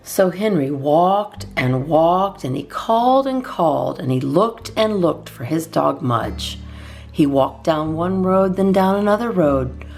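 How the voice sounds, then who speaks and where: A woman reading a children's storybook aloud as a storytime host, expressively and in measured phrases with deliberate pauses. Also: low voice, lively intonation, darkish tone, faint music behind.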